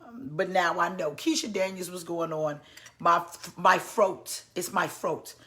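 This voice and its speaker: A woman's voice: on the low side, steady tone, measured pace, fairly bright tone. A woman, chatting playfully on a church live stream and greeting viewers by name. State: hoarse. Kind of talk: casual live-stream chat.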